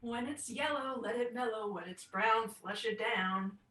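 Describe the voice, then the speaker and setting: An actress playing a resentful, sarcastic adult daughter in a stage play, dry and accusing, recorded in an echoing room. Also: female voice, fairly high pitch, a little slow, sharp tone. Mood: dry, muttering.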